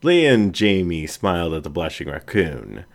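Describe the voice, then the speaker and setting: A man narrating a story in a measured, expressive reading. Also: low-pitched, vocal fry. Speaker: audiobook narrator. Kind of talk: scripted narration.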